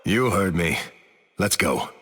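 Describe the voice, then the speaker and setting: A man voicing an upright veteran team leader in a video game, calm and commanding. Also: deep voice, takes it slowly, husky, big-room sound, heavily acted. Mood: steady.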